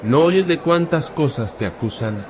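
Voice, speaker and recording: A man playing a stern Roman governor who questions in a measured way, in an audio drama of scripture. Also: fairly high pitch, animated. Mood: questioning. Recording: loud crowd and street noise behind.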